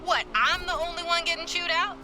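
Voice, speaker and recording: A woman's voice: extremely high pitch, steady tone, brisk. A wry young female street racer. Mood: defensive. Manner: teasing, wry banter. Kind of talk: naturalistic game cutscene dialogue. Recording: music behind the voice.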